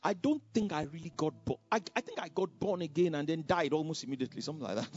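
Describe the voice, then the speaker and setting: A Nigerian man preaching, telling a personal testimony in an animated storytelling way with repetitions. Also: fairly high pitch, fairly quick, slightly rough. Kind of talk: sermon testimony. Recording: dull lo-fi sound.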